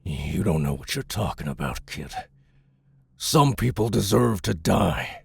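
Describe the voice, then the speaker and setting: Big gruff male survivor for audio drama, husky and slow, bitter, defiant, hardened. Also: sits low, lively intonation, exaggerated voice. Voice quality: gravelly, raspy. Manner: weighty, defiant, rough-spoken.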